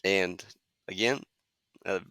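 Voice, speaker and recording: A man in his fifties hosting a solo podcast, talking conversationally with frequent "uh" fillers and a slightly hoarse voice from a cold. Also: low-pitched, slow pace, bright, forceful tone, no echo. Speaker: podcast host.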